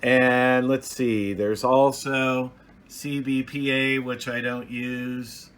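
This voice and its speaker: A man giving a casual, unscripted hands-on gear review as a hobbyist, halting and restarting his phrases as he goes. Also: medium pitch, flat delivery, fairly bright tone, clear, resonant tone.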